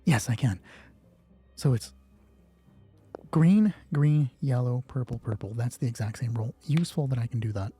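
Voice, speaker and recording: A man's voice: mid-range voice, moderate pace, long pauses, dark tone, slightly rough, soft background music. A man game mastering a solo roleplaying game, thinking aloud through dice rolls in casual, sweary commentary.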